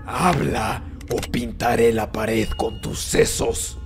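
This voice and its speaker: A man playing a brutal, commanding cartoon interrogator with a gravelly, menacing voice. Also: mid-range voice, wildly swooping pitch, slow pace, over music. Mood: threatening. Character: brutal, threatening male interrogator.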